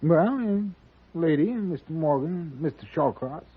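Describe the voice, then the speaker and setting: An actor playing a folksy, hesitant frontier hotel clerk in a 1950s radio western, drawling in a raspy voice. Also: male voice, on the high side, expressive, moderate pace. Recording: tinny old radio recording.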